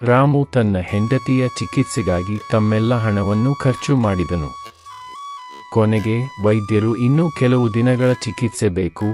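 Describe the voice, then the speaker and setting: Man, storybook narrator, even, matter-of-fact, lowish voice.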